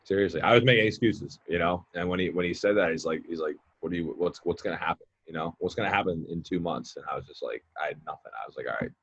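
A man's voice: low-pitched, fast, hardly any pauses, a bit bright, slightly rough, muffled recording. A man in his late twenties, a mindset coach and guest, talking casually in a rambling way with many fillers and repeated words. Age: 20s. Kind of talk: casual podcast conversation.

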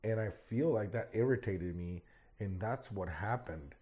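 Male podcast host, warm dark tone, rambling solo talk, voice still recovering. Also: lowish voice, unhurried. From Arizona, United States. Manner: rambling, repeats words often. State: recovering from lost voice.

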